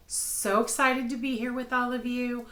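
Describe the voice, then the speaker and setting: Upbeat woman podcast host, fifties, a bit hoarse, fairly high voice, talking into a desk mic at home. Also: little pitch movement, unhurried, bright, forceful tone. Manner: chatty and upbeat, many ums. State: slightly hoarse from a cold. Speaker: podcast host, crochet designer. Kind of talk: casual craft podcast chat. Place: bedroom craft room at home. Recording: echoey room.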